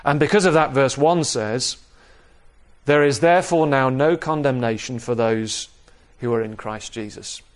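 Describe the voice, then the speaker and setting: A man preaching an expository sermon in a measured, explanatory manner, repeating key phrases for emphasis. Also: mid-range voice. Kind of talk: sermon, expository Bible teaching.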